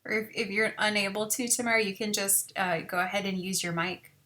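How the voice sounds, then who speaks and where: A woman from South Texas, a college biology instructor, giving a relaxed, chatty online class orientation over a video call. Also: mid-range voice, fairly quick. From Rio Grande Valley, South Texas. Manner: relaxed, chatty, many fillers.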